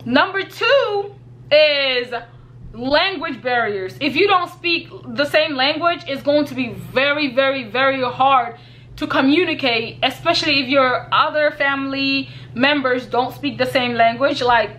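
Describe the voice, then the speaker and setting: A woman's voice: high voice, measured pace, a bit bright, poor audio. A young woman from Kenya giving earnest, casual advice on her vlog, repeating points and laughing lightly. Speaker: YouTuber.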